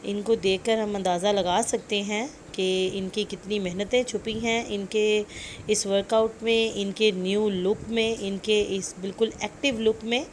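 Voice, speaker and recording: A woman's voice: medium pitch, fairly even intonation, a little slow, a little hiss or hum. A woman with a Pakistani Urdu accent narrating celebrity entertainment news in a chatty voice-over, repeating herself and slipping into English phrases. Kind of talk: voice-over commentary on celebrity clips.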